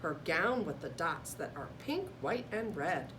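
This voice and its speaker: A woman reads a picture book aloud for children. Her delivery is animated, at a medium pitch. She sounds distant from the microphone, with clear noise around her. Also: unhurried. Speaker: co-reader of a children's story. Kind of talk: expressive children's read-aloud.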